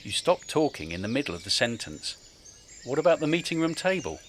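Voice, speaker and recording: A man's voice: medium pitch, big pitch swings, a little fast, a bit bright, a little hiss or hum. A man playing a smitten, persuasive property developer in a radio drama. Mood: gentle.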